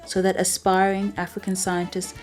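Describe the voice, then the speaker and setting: A woman with a Zambian accent reads a scripted documentary narration in a measured, earnest way. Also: medium pitch, completely flat delivery, a little mellow. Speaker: film narrator. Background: background music.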